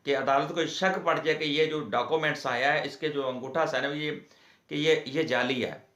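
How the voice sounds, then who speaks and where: A man with a Pakistani accent gives an informal legal explainer in a halting, repetitive, explanatory way, as the host of an advice channel. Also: fairly high pitch, fairly even intonation, a bit bright. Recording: echoey room.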